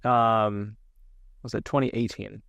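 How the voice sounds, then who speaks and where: A man's voice: fairly low pitch, steady tone, takes it slowly, gravelly, fairly bright tone, no echo, lo-fi sound. A man hosting a casual conversation, talking in meandering, thoughtful phrases. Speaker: podcast host. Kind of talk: casual interview chat.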